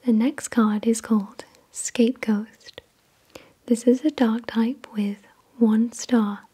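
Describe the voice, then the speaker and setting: A woman whispering in a slow, deliberate, soothing way, reading aloud in ASMR style with a breathy, unvoiced delivery. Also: fairly high pitch, fairly even intonation, warm tone. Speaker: ASMR video host.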